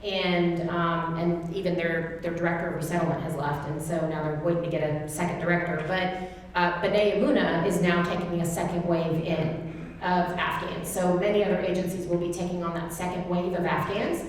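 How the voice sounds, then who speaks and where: A woman's voice: on the low side, flat delivery, normal speed. Oklahoma-accented woman in an echoey hall. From Tulsa, Oklahoma. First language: Turkish. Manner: chatty, answers questions at length. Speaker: guest speaker. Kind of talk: informal class talk. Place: church classroom. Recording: echoey hall with long reverb.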